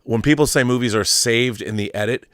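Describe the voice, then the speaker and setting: A man's voice: medium pitch, a little fast, a bit bright, dry recording, clean studio sound. An American man in his fifties talking casually and with opinions as a show host, emphatic and nostalgic, repeating phrases for stress. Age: 50s. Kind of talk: opinionated casual monologue on pop culture.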